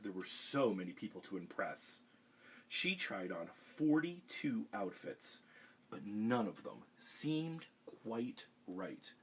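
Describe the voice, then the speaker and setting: Man, low and husky, kids' storytime reader on a webcam, gentle asides. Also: big pitch swings, takes it slowly, rough recording. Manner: gentle, chatty asides to kids. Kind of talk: reading a picture book aloud on a livestream. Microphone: webcam livestream.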